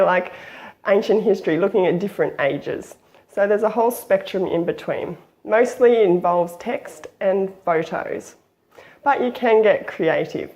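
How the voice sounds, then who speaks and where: A woman with a croaky, flu-hoarse voice, hosting a casual how-to vlog in a chatty, friendly way with an Australian accent. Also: medium pitch, a little mellow, lo-fi sound. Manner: friendly, chatty, with small laughs and fillers. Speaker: memoir-writing channel host.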